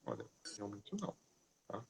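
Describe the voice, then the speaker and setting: A man hosting a casual live talk, chatty and lively, asking questions and answering them himself. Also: moderate pace, highs cut off. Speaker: host. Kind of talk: casual live talk giving diet tips.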